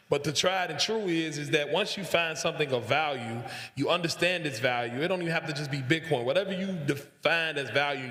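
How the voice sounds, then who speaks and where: A man's voice: on the high side, fairly bright tone. A man speaking on a conference panel, emphatic and conversational, often repeating his points. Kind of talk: panel discussion remarks. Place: conference hall. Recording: echoey conference hall.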